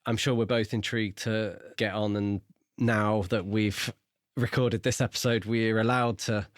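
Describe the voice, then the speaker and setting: A man's voice: lowish voice, flat delivery, measured pace, dry recording, studio quality. A man co-hosting a podcast, talking casually about a book. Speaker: podcast co-host discussing a novel. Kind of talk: casual book-club chat.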